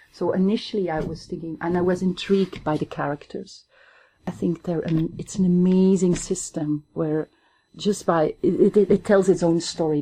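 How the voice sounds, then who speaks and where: Flemish lady in her 40s, low mellow voice, kinda husky, chatting thoughtfully. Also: rough recording. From Belgium (Limburg, Flanders). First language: Flemish Dutch. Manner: thoughtful, searches for words. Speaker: academic guest. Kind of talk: reflective conversational interview.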